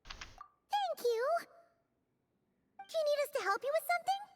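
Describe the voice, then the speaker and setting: Tiny childlike game fairy, extremely high voice, swooping intonation, earnest, noisy audio. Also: female voice, sing-song, a little slow, heavily acted. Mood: curious, earnest. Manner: refers to self in third person, childlike. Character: small high-pitched female floating fairy companion, childlike and earnest.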